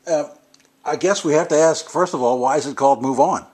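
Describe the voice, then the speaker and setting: A man interviewing a musician off camera, asking brief, relaxed questions and nodding along with "uh-huh". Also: on the high side, a little fast, slightly rough.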